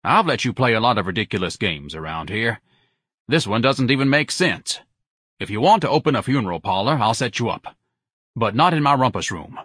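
Guy doing a fed-up sarcastic husband, grumbly and dry, bit husky, audiobook character. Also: medium pitch, animated, a little fast, a bit bright, dry recording. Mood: exasperated. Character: weary, sarcastic, exasperated middle-aged husband.

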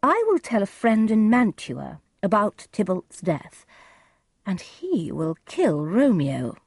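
A firm, commanding noble mother of middle age acted by a woman narrator in a storybook. She speaks insistently in a raised, animated pitch, recorded dry. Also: takes it slowly, husky, no echo, full character voice. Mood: stern. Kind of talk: dramatized storybook reading.

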